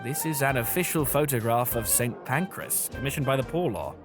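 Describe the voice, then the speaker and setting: An actor playing a fussy, chatty British camera shop clerk in a scripted audio drama, with formal shopkeeper patter. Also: male voice, mid-range voice, brisk, natural voice. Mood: politely wary. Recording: music behind the voice.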